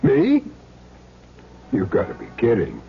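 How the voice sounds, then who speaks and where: An actor playing a cool, wisecracking hired killer in a radio drama, dry and glib. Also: male voice, medium pitch, wildly swooping pitch, slow, background noise, full character voice. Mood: wary, evasive. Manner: dry, glib, evasive answers. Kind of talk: 1970s radio drama acting. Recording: dull vintage radio broadcast.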